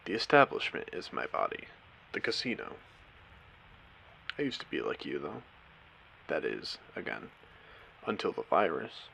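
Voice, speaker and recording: A man playing a courteous, eerie AI who hosts an abandoned post-apocalyptic casino in an audio drama, speaking theatrically with deliberate pauses. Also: pushed and bright, a little hiss or hum, full character voice, rough recording. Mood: wistful, insistent. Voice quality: low, creaky voice. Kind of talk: scripted character audio drama monologue.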